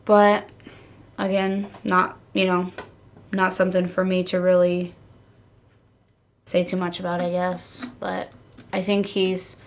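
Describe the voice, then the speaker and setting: Gravelly-voiced woman chatting, fairly even pitch. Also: slow, pauses often. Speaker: podcast co-host. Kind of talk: casual chat.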